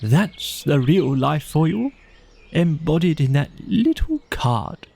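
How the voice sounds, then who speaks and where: A man voicing a boisterous, boastful, excitable gentleman toad in a children's audiobook, with pompous, exuberant exclamations. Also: a little high, slow pace, vocal fry, slightly rough, voice pushed up, exaggerated voice. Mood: rapturous, proud.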